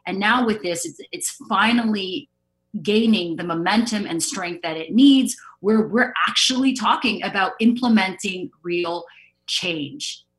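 A woman's voice: medium pitch, measured pace, low-quality recording. Female panelist, mid-range voice. Impassioned, outspoken, informal delivery with emphatic stresses. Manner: emphatic, outspoken, casual swearing. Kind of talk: impassioned casual discussion.

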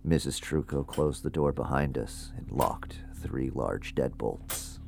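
A man's voice: a bass voice, takes it slowly, creaky, background noise, full character voice. An actor playing a ghost hunter who narrates his investigation in a scripted first-person audio drama. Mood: measured, observant.

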